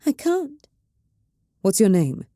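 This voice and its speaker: A woman's voice: fairly low pitch, animated, a little slow, mellow, dry recording, studio quality. A woman voicing a battered, stubborn woman navigator in chronic pain, speaking through gritted teeth with acid, commanding force. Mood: breathless.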